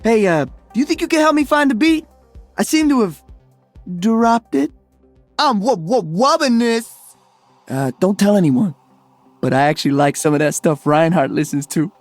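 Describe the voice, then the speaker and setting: Upbeat young DJ hero, video game voice lines, very lively pitch, playful, casual, music behind. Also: male voice, moderate pace, long pauses, dry recording. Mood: sheepish, joking. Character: upbeat young male Brazilian celebrity DJ and freedom fighter.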